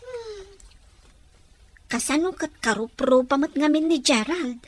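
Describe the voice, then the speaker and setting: High-pitched young Filipino woman played by an actress, emotional and hurt. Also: sing-song, unhurried. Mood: regretful. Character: young Ilocano woman, wounded in love, hot-tempered but remorseful. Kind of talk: emotional audio drama acting.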